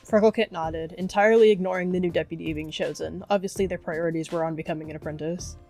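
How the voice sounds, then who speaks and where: A woman's voice: fairly low pitch, fairly even intonation, fast talker, a little mellow, faint music behind. A woman narrating a scripted roleplay story as narrator.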